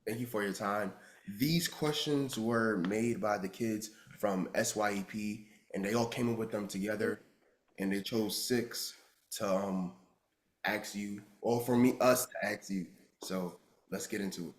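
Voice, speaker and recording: Young man, recent graduate, lowish voice, slightly unsure delivery. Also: a little slow. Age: young adult. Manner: slightly hesitant, with ums. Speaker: youth interviewer. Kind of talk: casual introduction.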